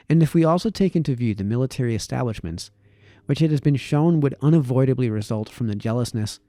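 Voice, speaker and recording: Male reader for formal essay narration: relaxed, soft-edged timbre, expressive intonation, measured emphatic delivery. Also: mid-range voice, no echo, studio quality. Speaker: amateur reader of historical essays.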